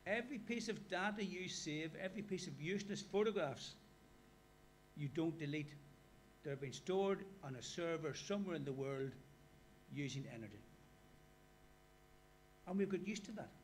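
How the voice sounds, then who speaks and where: An Irish man with a somewhat high voice lectures a workshop in a conversational way, turning questions to his listeners. A faint hum sits under the recording. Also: animated, moderate pace, long pauses. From Ireland. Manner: conversational, asks the audience questions. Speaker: guest lecturer. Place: lecture hall.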